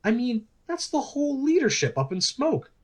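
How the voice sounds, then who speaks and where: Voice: high-pitched, big pitch swings, normal speed. An actor playing a chatty, opinionated political interviewer with leading questions in a conversational radio play. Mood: pointed, lively.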